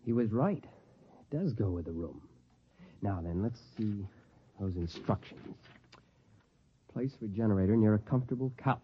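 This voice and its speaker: A man's voice: on the low side, expressive, moderate pace, pauses often, a little hiss or hum, lightly acted. An actor playing a furtive, homicidal man who schemes against his best friend, in a 1950s radio drama. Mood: muttering, absorbed. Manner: shifty, muttering threats aside, then covering up. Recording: muffled vintage 1950s radio recording.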